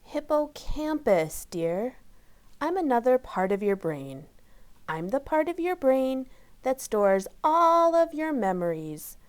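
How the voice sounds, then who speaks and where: A woman voicing a sweet, kindly lady in a children's storybook, gentle and fondly correcting. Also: sits high, sing-song, slow, smooth, pitched above the performer's own voice, full character voice. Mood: kindly explaining. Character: sweet, kindly bespectacled woman, a memory helper.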